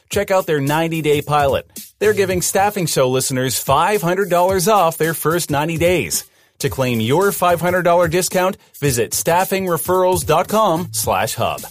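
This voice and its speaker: A man reading a scripted promotional spot for a podcast, with music behind him. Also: on the high side. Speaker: podcast ad reader. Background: faint music.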